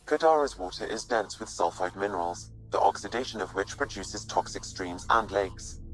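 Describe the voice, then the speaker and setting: Man as a low, precise AI assistant. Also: soft background music, lightly acted, rough recording. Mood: calm, factual. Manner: formal, measured, informative. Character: calm, precise male artificial intelligence assistant. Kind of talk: video game character exposition.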